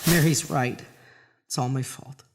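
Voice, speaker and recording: A man's voice: medium pitch, fairly even intonation, measured pace, background noise, natural voice. A man playing an anxious, guilt-ridden suburban husband in a horror audio drama. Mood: haunted, regretful. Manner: confessional narration breaking into frantic outbursts. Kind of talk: horror audio drama acting with first-person narration.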